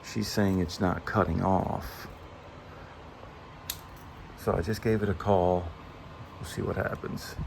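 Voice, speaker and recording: A man's voice: low-pitched, fairly even intonation, slow, gravelly, long pauses, background noise. Stuffed-up man rambling through a work vlog. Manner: rambling, thinking aloud. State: stuffed up, under the weather. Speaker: HVAC technician.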